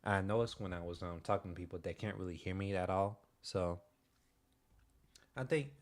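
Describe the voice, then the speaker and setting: A young man streaming, chatting casually in a soft-spoken, hesitant way with many pauses and restarts. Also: deep voice. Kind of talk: casual livestream chat.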